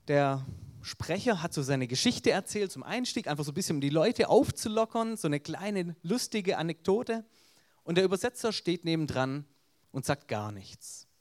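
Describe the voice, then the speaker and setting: Man, Swabian accent, evangelist, earnest storytelling sermon, somewhat raised pitch. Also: sing-song, moderate pace, darkish tone.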